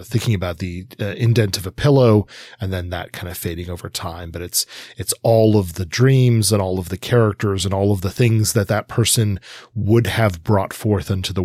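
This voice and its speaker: A man with a low-pitched voice talks as a podcast co-host in a casual discussion. He is thoughtful and halting, pausing often as he works through his points. The sound is close and dry. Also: slightly rough, no room sound.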